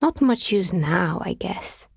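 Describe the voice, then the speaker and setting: An actress playing a wry, world-weary artisan dicemaker in a video game, speaking in a dry, understated, matter-of-fact way with a husky, breathy voice. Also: female voice, lowish voice, expressive, takes it slowly, dry recording, heavily acted. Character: wry, world-weary woman artisan who makes novelty dice. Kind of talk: video game character dialogue.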